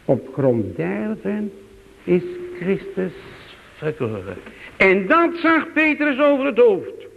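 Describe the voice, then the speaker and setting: A man's voice: high-pitched, very animated, slow, vocal fry, big-room sound, dull audio, a little hiss or hum. A man preaching a sermon in a church, with a solemn, swooping preaching cadence.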